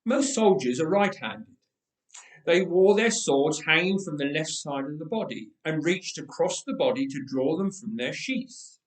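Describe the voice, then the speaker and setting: A man reading a story aloud in a dramatic storytelling style, with deliberate, expressive phrasing and emphatic repetition. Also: on the high side. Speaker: story reader. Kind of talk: dramatic read-aloud storytelling of a Bible tale. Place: inside a church. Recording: roomy, echoing church acoustic.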